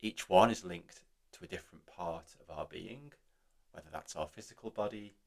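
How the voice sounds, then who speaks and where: A man gently hosting a guided meditation in a soothing, reassuring, unhurried manner. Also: deep voice, gravelly.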